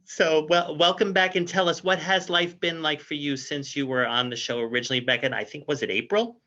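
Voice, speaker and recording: Bright-voiced male radio host, lo-fi call. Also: fairly high pitch, fairly even intonation, brisk. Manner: reads a formal disclaimer, chatty introductions. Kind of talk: hosting a health talk show over a video call. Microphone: video call.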